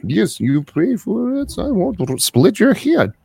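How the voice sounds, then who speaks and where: A man's voice: high-pitched, big pitch swings, unhurried, gravelly, warm tone, slightly rough, full character voice. A man playing a pushy shady Russian clerk. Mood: gruff, menacing. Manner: rambling, bossy, swings between menacing and chummy. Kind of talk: improvised comedy phone sketch.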